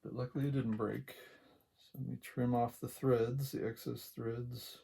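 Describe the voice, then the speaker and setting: A quiet-voiced man giving a casual, unhurried hands-on tutorial, talking along as he works, with a gravelly voice. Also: medium pitch, flat delivery. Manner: unhurried, talks along while working. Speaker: craft tutorial host.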